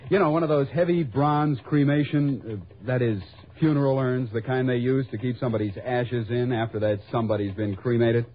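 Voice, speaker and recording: A man playing an earnest, slightly exasperated insurance office man in a vintage radio drama. His voice is husky and mid-pitched, and the old recording sounds dull. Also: steady tone, a little fast, few pauses, close to an everyday voice. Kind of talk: 1950s radio drama dialogue.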